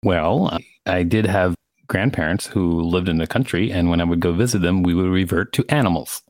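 A man's voice: low voice, moderate pace, a little mellow, no room sound. A man co-hosting a podcast, reading an article aloud and adding playful, mock-indignant asides. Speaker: podcast co-host.

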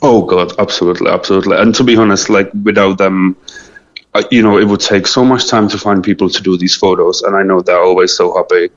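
A man's voice: low voice, fairly even intonation, quick pace, dull audio. A man with a Czech accent, a native Czech speaker, answering casually as an interview guest in a hesitant, modest way. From Czech Republic. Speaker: interview guest, small clothing business founder.

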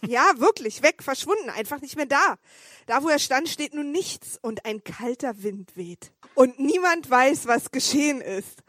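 A woman's voice: high-pitched, animated, unhurried, fairly bright tone, dry recording, studio quality. A woman playing a flustered, dramatic client who briefs boy detectives in a comic audio play. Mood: dramatic, urgent. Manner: dramatic, impatient.

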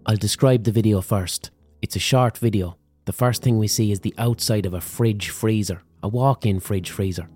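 A man's voice: fairly low pitch, normal speed, few pauses, a little mellow, soft background music. A middle-aged man with a Limerick Irish accent, hosting a podcast and telling a comic story with lots of swearing and mock accents. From Ireland. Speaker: podcast host. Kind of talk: comic monologue.

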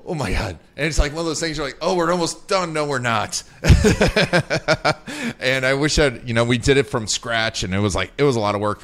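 A man hosting an interview, asking questions in a lively, chatty way. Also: on the low side. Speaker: interview host. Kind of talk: conversational interview.

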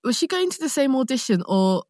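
A woman with a London accent, an actor being interviewed, telling anecdotes in casual, animated chat and acting out her own thoughts. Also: sits high, a little fast, no echo, studio quality. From London, England, born in Nigeria. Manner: animated storytelling with self-quoted asides.

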